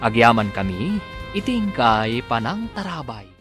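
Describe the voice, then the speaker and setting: A man's voice: medium pitch, big pitch swings, a little slow. A man with a Filipino accent announcing a radio drama in a dramatic, scripted style. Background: prominent music.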